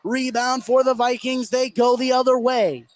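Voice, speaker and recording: A high-voiced male sports commentator calls a basketball game as it happens. He is excitable and leans hard into the big moments. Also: normal speed, slight background noise. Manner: excitable, emphatic on big plays. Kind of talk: live basketball play-by-play commentary.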